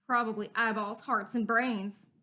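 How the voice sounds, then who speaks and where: A woman's voice: a little high, fairly even intonation, unhurried, sharp tone. A woman reading a storybook aloud, voicing a young girl who talks in a dramatic, exclamatory way. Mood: excited.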